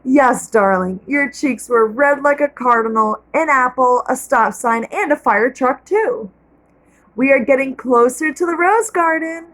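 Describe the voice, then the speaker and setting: A woman reading aloud and voicing a loving, gentle mother talking to her young daughter. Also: high voice, unhurried. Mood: tender. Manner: soothing, affectionate.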